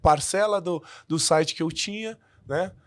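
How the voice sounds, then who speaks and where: A man's voice: on the high side, unhurried, dry recording. A man who learned the language as an adult, a podcast guest telling his story in a casual way with many restarts and fillers.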